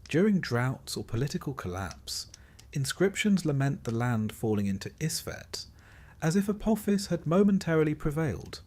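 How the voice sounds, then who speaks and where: A man reading a scripted history narration for a sleep story, in a measured, carefully phrased storytelling manner. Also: fairly high pitch, big pitch swings, darkish tone.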